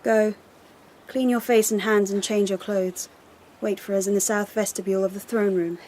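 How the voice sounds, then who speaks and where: An actress playing a haughty, icy young empress in a radio drama, imperious, formal and measured in her disdain. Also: mid-range voice, steady tone, darkish tone, a little hiss or hum, close to an everyday voice, rough recording. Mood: cold reproof.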